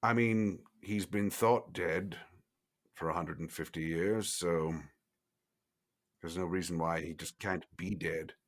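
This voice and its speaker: A man's voice: sits low, steady tone, takes it slowly, voice pushed down. A man playing a genteel, droll old vampire schemer in a tabletop game, fussy and mock-polite with hesitant phrasing. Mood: musing.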